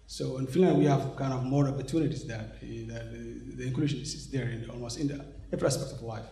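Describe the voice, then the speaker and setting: Male interviewee from Somalia, 40s, mid-pitched and dark-toned, halting delivery, roomy hall sound. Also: vocal fry. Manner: hesitant, many fillers and restarts. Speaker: interviewee, organization representative. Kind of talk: conversational interview. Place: conference venue, indoors. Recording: echoey room sound.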